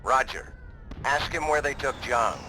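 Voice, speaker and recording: An actor playing a special forces trainee talking in clipped military comms in a video game. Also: male voice, mid-range voice, a little slow, pushed and bright, slight background noise, poor audio. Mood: crisp, urgent. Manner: clipped military radio talk.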